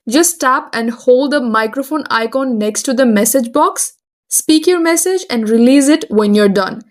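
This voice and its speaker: A woman hosting a tutorial, reading scripted instructions in a step-by-step way. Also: a little high. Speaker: tutorial host.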